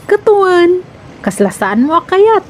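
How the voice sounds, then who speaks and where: A woman's voice: extremely high pitch, sing-song, slow pace, slight background noise, heavily acted. A woman playing a flirty, teasing neighbour in a radio comedy drama, with a coy manner and an Ilocano accent.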